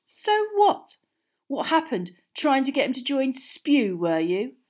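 Sulky, scathing teenage boy wizard, played by a woman narrator. Accusing, forceful, swinging intonation, audiobook fantasy. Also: high-pitched, expressive, a little slow, pitched above the performer's own voice. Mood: nasty, sneering. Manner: accusing, sneering, loud.

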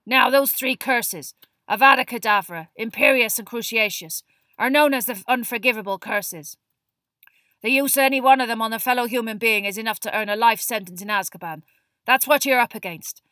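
Woman putting on a fierce, growling old man, very loud. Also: fairly high pitch, normal speed, no room sound, studio quality, voice pushed up. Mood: fierce, roaring. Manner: forceful, emphatic, barking. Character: gruff, fierce old battle-scarred male teacher, barking warnings.

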